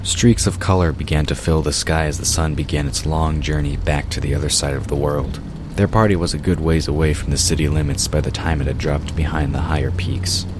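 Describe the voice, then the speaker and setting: A man reading scripted fiction as the narrator of an audio drama. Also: extremely low pitch. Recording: noticeable noise behind the voice.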